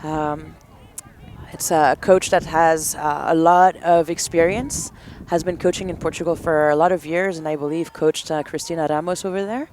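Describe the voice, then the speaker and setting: A woman's voice: low voice, steady tone, moderate pace, a little mellow. A woman commentating on a rugby match, analytical and chatty, with many filler words. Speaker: color commentator. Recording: outdoor noise behind the voice.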